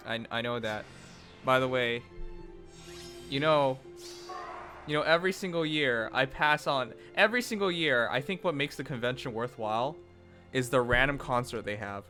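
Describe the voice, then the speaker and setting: A young man chatting casually on a livestream, animated and rambling, often breaking into laughs. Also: mid-range voice, moderate pace, pauses often. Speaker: streamer. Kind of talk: casual stream chat. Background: faint music.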